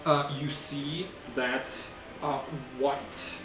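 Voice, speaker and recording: A man working as game master, improvising tabletop narration in a halting way as he searches for words. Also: a little high, steady tone. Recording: roomy, distant mic, music behind. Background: music.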